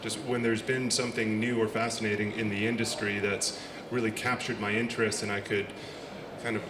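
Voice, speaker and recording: A middle-aged American man with an American accent, speaking as a conference panelist in an earnest, steady way. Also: fairly low pitch, fairly quick. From United States. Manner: steady, earnest, a few restarts. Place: large conference hall. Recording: echoey hall with background noise.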